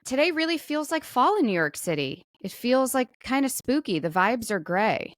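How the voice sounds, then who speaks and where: A woman's voice: a little high, very animated, moderate pace, dry recording. A woman co-hosting a podcast in casual, conversational chat, with plenty of "like" and trailing asides. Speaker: podcast co-host; actor.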